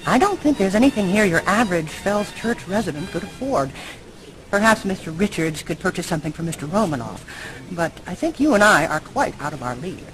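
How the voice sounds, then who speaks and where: A woman's voice: lowish voice, big pitch swings, brisk, low-quality recording. An actress playing a kindly but firm older woman in a video game, genteel and motherly in manner. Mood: confiding. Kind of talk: video game dialogue acting. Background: music.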